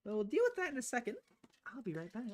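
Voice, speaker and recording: Woman streamer with lively pitch. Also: fast talker. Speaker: game streamer. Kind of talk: live stream visual novel read-aloud.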